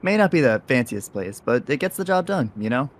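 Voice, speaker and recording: Easygoing teen boy street artist, acted, mid-pitched with lively swings, casual and placating, for audio drama. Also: a little fast, close to an everyday voice. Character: easygoing, apologetic teenage boy graffiti artist. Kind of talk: audio roleplay acting.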